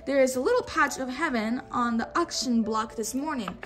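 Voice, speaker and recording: A woman's voice: high-pitched, moderate pace, a bit bright, faint music behind. A woman voicing a boastful male Western outlaw from a children's storybook, with folksy, grumbling cowboy talk. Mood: smug. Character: gruff, boastful male Western cattle-rustler villain.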